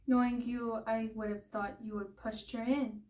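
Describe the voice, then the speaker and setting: A woman's voice: fairly high pitch, level tone, moderate pace, a little mellow, natural voice. A woman playing a young fairy pupil in a fantasy roleplay, cheeky, skeptical and argumentative, in a roomy, echoing recording. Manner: pert, questioning.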